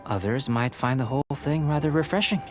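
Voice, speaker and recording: Casting: man as young researcher-alchemist for a fantasy game, mid-range voice, calm, analytical, precise and detached. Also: medium pitch, music in the background, lightly acted. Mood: composed. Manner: measured, formal, detached, analytical. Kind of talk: English video game voice acting.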